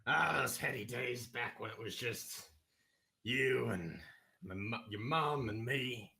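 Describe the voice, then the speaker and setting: A man playing a gruff older father who runs a cigarette business, coughing and blustering. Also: mid-range voice, slow pace, bright, forceful tone, heavily acted.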